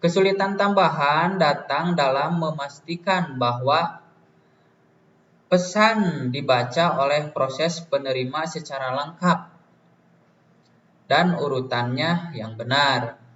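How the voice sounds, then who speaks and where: A young man presents like a university student working through his notes, slowly and haltingly. His voice sits a little high for a man. It comes across distant, in an echoey room. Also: fairly bright tone. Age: young adult. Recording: dull, distant, roomy, faint noise.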